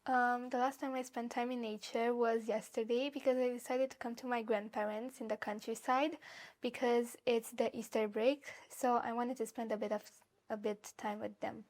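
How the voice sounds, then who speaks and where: Give me a young woman with a Romanian accent, higher-pitched, pausing and restarting as she answers exam questions. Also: little pitch movement, a little mellow. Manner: hesitant, with fillers and restarts. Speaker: exam candidate.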